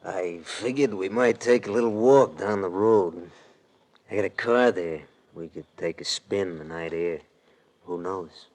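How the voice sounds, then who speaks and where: An actor playing a sly, slangy American gangster thug in a menacing 1940s radio drama style. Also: male voice, sits low, unhurried, darkish tone. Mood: sly menace. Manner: slangy, ungrammatical tough talk. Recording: vintage radio drama recording.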